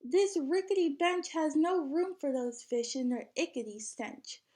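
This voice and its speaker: Woman acting out a high-pitched, exasperated young boy. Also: a little slow, mellow. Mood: exasperated protest. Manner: pleading, exasperated. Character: worried, protesting young boy passenger in a picture book.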